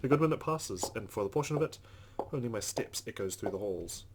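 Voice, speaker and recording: A man's voice: on the low side, lively intonation, a little fast, background noise. A man reading a visual novel aloud as dramatic narration for a let's-play.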